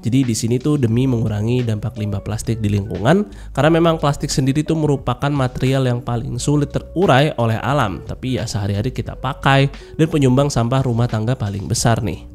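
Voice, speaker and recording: A man's voice: mid-range voice, fairly quick, a little mellow, soft background music. A young man giving a casual product review as a voice-over, his voice nasal and stuffy from a cold, chatty and informal. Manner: chatty and informal, with many filler particles. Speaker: tech reviewer. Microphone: voice-over mic.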